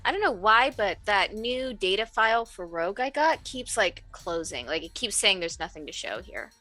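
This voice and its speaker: A woman's voice: mid-range voice, big pitch swings, moderate pace, bright, forceful tone, no room sound, soft background music. A woman playing in a tabletop game, chatting casually with the group.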